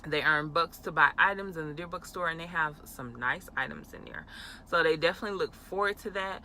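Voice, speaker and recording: A woman's voice: fairly low pitch, bright, forceful tone, a little hiss or hum. A young adult woman, a teacher, chatting casually in a vlog with frequent fillers and laughs. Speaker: elementary school teacher. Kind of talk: casual talking-head vlog.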